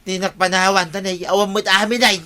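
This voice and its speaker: A man's voice: high voice, level tone, fairly quick, pushed and bright, smooth. A man playing a flustered, whiny village husband in a comedy radio drama, with an Ilocano accent. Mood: pleading.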